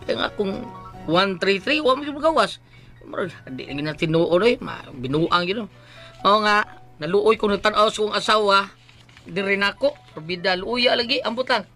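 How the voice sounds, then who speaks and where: A man acting out an excitable, obsessive Filipino husband with a Cebuano accent in a comedy radio play. The voice is bright and forceful, leaping widely in pitch as he mutters to himself and exclaims. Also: moderate pace, soft background music, exaggerated voice. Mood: rueful. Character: excitable lottery-obsessed husband working as a taxi driver.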